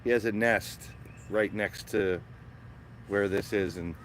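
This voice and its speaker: Raspy guy livestreaming from his backyard, kinda low voice, greeting chat, bit of outdoor noise. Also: steady tone, slow. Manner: chatty, greets viewers by name. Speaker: live stream host. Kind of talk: casual live-stream chat. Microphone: small phone-mounted microphone.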